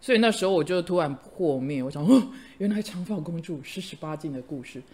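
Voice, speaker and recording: A middle-aged woman, a host and teacher, chatting casually and telling lively stories with frequent laughter. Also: on the low side, fairly quick. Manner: animated storyteller, laughs often. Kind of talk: casual chat.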